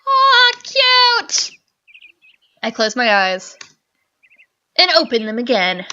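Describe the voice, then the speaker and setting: A woman's voice: fairly high pitch, huge pitch swings, slow pace, sharp tone, dull audio, slight background noise. A young woman narrating a visual novel as a let's play host, reading dramatically and dropping sarcastic quips. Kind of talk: reading game text aloud with wry asides.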